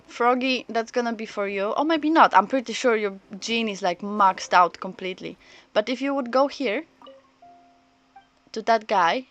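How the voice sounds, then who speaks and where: A woman streams a story game and chats casually as she plays. She speaks in a halting, rambling way, thinking out loud. Soft game music sits behind her voice. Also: mid-range voice, no echo. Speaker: live streamer. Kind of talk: casual chat while playing a story game.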